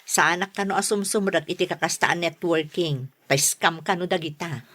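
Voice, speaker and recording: A woman's voice: lowish voice, fairly even intonation, normal speed, fairly bright tone. A woman with an Ilocano accent playing a cautious, admonishing elder sister in a radio drama. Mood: cautious, teasing. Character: cautious, sensible elder sister who teaches. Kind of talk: Filipino radio drama acting.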